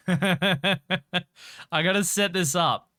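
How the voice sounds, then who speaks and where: A woman's voice: lowish voice, drawn-out words, a bit bright, no echo, studio quality. A woman doing casual, profane livestream commentary on a game, repeating herself and narrating her own moves. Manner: profane, repetitive, self-narrating. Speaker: game streamer.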